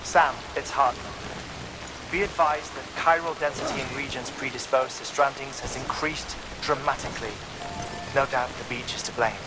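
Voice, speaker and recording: An actor playing a formal scientist in a video game, issuing a precise hazard advisory. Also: male voice, medium pitch, steady tone, moderate pace, noisy background, close to an everyday voice. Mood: formal, cautionary. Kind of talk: video game voice acting, radio-style advisory. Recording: loud rain over the voice.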